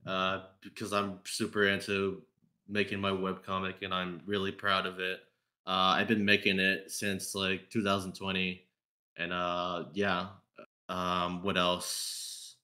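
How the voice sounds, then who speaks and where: A man chatting casually as a podcast panelist, hesitant in phrasing and quick to giggle. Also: sits low, pushed and bright. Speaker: podcast panelist, fandub creator. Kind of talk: casual group chat.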